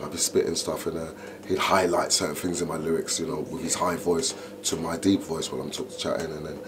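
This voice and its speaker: A middle-aged man, an MC telling stories in an interview, animated and full of "you know". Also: a bass voice, brisk. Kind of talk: interview, casual storytelling. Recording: noticeable background noise.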